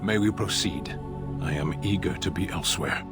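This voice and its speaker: An actor playing a solemn, formal warrior who seeks atonement, speaking tersely in a video game. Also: male voice, deep voice, moderate pace, over music, natural voice. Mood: grave. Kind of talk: video game cutscene dialogue.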